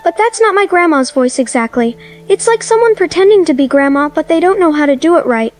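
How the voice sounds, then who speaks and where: A woman's voice: high-pitched, expressive, a little fast. A woman voicing a frightened, innocent little girl phoning in to a horror radio drama. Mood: frightened, pleading. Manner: childlike, innocent, scared.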